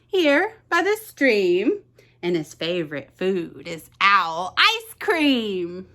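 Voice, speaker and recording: A woman voicing a small, clever mouse in a picture book read aloud, speaking politely in a squeaky voice. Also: huge pitch swings, takes it slowly, sharp tone, heavily acted.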